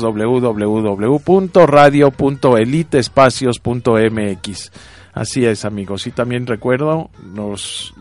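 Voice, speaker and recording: A man with a Mexican accent hosting a radio show, talking informally to listeners and addressing them as friends. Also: mid-range voice. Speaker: radio host.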